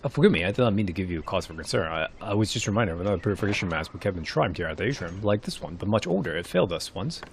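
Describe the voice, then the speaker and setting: A man's voice: low voice, expressive, rapid-fire, creaky, few pauses, a little hiss or hum. A man voicing a polite, apologetic shrine keeper in narrated fantasy game dialogue, speaking formally and a little hesitantly. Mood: polite, explaining. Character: courteous, apologetic male mask keeper at a forest shrine.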